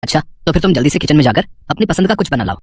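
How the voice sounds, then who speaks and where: A man voicing a young married son in a story, brisk and matter-of-fact. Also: fairly high pitch, expressive, darkish tone, a little hiss or hum, close to an everyday voice. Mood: reassuring, hurried.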